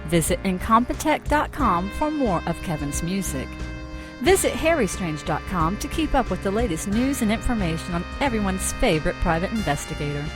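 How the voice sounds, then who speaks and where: A woman's voice: mid-range voice, sing-song, brisk. A woman announcer reading scripted closing credits for a radio drama. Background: music.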